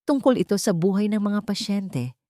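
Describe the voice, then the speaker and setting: A woman's voice: medium pitch, animated, normal speed, dark tone, no echo, studio quality. A woman reading a scripted first-person dramatic story as its narrator.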